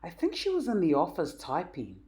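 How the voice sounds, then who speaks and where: Woman reading as a cheerful, animated storybook dad. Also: fairly low pitch, normal speed, pitched above the performer's own voice. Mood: cheerful, explaining. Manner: enthusiastic, explanatory. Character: cheerful, patient father explaining to his son.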